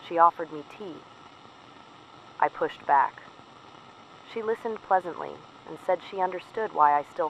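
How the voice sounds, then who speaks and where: A dull, faintly noisy field recording carries a slightly high-voiced actor as a male resistance operative. He reports in short, precise sentences, measured and troubled, as in a dystopian audio drama. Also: fairly bright tone.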